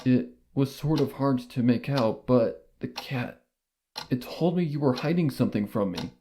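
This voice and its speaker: A man's voice: mid-range voice, normal speed, dark tone, close to an everyday voice. A man reading out a nervous, troubled young male dragon, speaking hesitantly. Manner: hesitant, uneasy.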